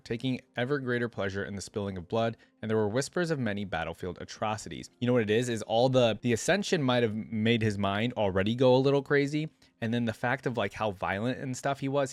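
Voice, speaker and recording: A man reading stories aloud and reacting casually as a video host, expressive and informal. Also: mid-range voice, brisk, few pauses, no room sound. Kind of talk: reading lore aloud with casual off-the-cuff commentary.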